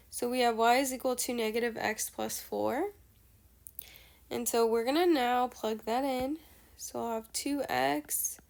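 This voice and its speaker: Young female teacher, a bit stuffy and nasal, higher voice, patient walkthrough with fillers. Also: gravelly. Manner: patient step-by-step explaining, many fillers. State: nasal from allergies. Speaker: math teacher. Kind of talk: explaining a math lesson.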